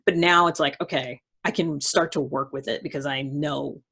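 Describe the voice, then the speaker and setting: A woman's voice: sits low, normal speed, gravelly, fairly bright tone, husky. A woman hosting a casual, conversational interview, talking in an informal, slangy way and acting out quotes as she goes. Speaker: host.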